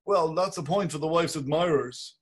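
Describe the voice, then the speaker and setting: A man playing a husky, fussy, hedging Bloom. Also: a little high, moderate pace. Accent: Irish. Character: fussy, hedging middle-aged Bloom, polite. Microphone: video call webcam.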